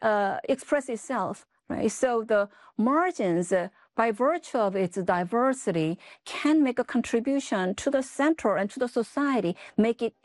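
A woman's voice: on the high side, lively intonation, measured pace, hardly any pauses, dry recording, studio quality. A woman author from South Korea, explaining her ideas thoughtfully in an interview and often checking in with "right?". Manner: thoughtful, explanatory, frequent tag questions. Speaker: author and guest. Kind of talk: interview answers.

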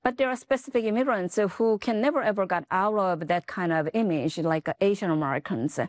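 A woman's voice: medium pitch, fairly quick, no room sound. Thoughtful woman author. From South Korea. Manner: thoughtful, explanatory, frequent tag questions. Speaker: author and guest. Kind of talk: interview answers.

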